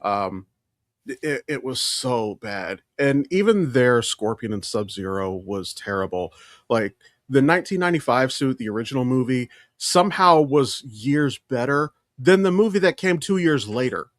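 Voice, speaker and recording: A man's voice: medium pitch, expressive, moderate pace, no room sound, studio quality. A man co-hosting a podcast in casual pop-culture chat, emphatic and opinionated, swearing freely. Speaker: podcast co-host.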